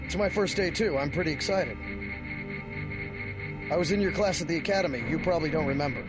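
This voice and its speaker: An actor playing an eager, chatty and deferential rookie trooper in a video game. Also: male voice, a little high, fairly quick, loud music behind the voice, close to an everyday voice, rough recording. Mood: eager, excited.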